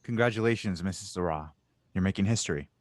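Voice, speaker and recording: A man acts out an older male inventor with a low voice and a close, dry sound. He is genial and a little wistful, talking in an easy, unhurried conversational way, as in an audio drama. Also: dry recording, natural voice. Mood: friendly, wistful. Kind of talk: audio drama table read.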